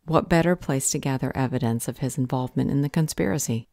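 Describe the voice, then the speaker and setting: A woman playing a firm, determined leader in an audio roleplay drama, stern and measured. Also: extremely low pitch, gravelly, warm tone, no room sound, clean studio sound. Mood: resolute. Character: firm, determined woman leading a fight against a villain.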